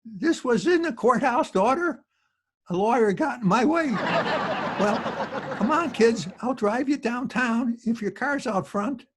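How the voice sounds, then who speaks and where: A man playing a folksy old joker with a raspy voice, rambling along in an old-time radio comedy. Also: very high voice, expressive, a little slow, a bit bright, a little hiss or hum, exaggerated voice. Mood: jokey. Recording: home video-call recording.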